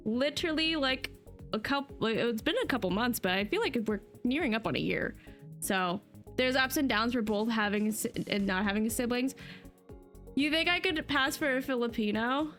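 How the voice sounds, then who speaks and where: Bright chatty streamer woman. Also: a little high, lively intonation, moderate pace, faint music behind. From New Jersey, US. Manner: chatty, rambling with fillers and laughs. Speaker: livestreamer. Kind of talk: casual chat to a live stream chat.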